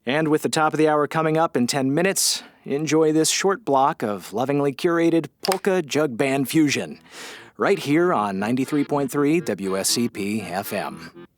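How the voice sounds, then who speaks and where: An actor playing a weary, sarcastic small-town radio host with a halting, dry delivery in a comedy audio drama. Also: male voice, mid-range voice, lively intonation, natural voice. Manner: halting, dry, sardonic, awkward.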